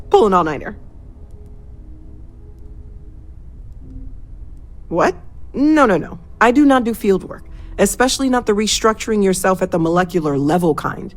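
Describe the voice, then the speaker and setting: A woman playing a dedicated, sincere and excitable scientist in a video game. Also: mid-range voice, close to an everyday voice. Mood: excited.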